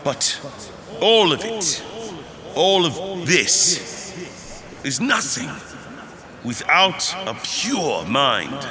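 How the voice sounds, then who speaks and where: A man playing a grandiose, solemn leader delivering stately, zealous oratory in a theatrical scripted speech. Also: fairly high pitch. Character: grandiose male leader rallying a nation with solemn zeal. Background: music.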